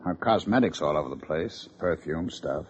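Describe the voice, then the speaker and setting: An American actor playing a dry, needling police lieutenant with a sardonic manner in an old-time radio detective drama. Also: male voice, low-pitched, expressive, moderate pace, a little hiss or hum. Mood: puzzled, investigating. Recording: muffled old radio recording.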